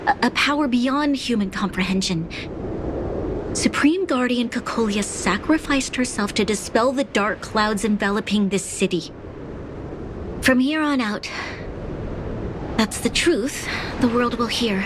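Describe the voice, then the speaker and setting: A woman voicing a dutiful young female leader in a game cutscene, with a grave, measured, formal delivery. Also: on the high side, background noise, lightly acted. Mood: solemn. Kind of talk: video game cutscene voice acting.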